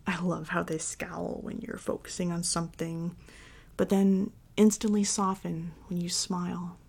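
A woman playing a tender, devoted girlfriend and mommy dom in an intimate ASMR roleplay, breathy and often whispering as she soothes her partner. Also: lowish voice, level tone, full character voice. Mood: adoring. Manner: soft, soothing, slow and affectionate.

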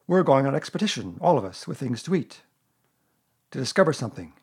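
A man voicing a slow-witted but eager, amiable male toy bear in a children's storybook. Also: mid-range voice, big pitch swings, breathy edge, heavily acted. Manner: simple, amiable, earnest.

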